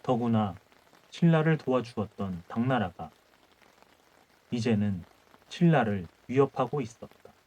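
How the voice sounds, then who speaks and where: A man narrating a folk tale as a calm audiobook reader, reading in measured short phrases. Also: medium pitch, rough recording. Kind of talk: calm bedtime storytelling.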